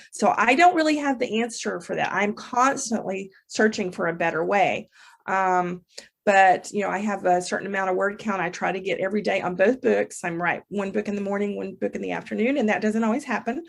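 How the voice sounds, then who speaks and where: Gravelly, candid woman interview guest. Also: medium pitch. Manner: candid, conversational. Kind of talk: casual chat.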